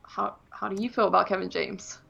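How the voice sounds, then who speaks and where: A woman's voice: medium pitch, fairly even intonation, fairly quick, creaky, muffled recording, music in the background. A woman co-hosting a movie podcast in casual, rambling conversation, hedging as she goes.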